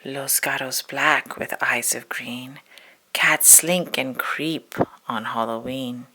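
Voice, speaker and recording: A woman reading a spooky children's poem aloud in a dramatic, hushed storytelling manner, stretching words and dropping into whispers. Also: very deep voice, steady tone, slow. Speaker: storybook reader.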